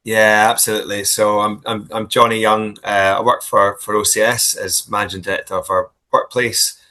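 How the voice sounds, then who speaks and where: A man with a Scottish accent, in his thirties, answering interview questions in a friendly, halting way with frequent restarts. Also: on the low side, flat delivery, pushed and bright. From Scotland. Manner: friendly, hesitant, many restarts and fillers. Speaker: guest, business leader. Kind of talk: casual interview conversation.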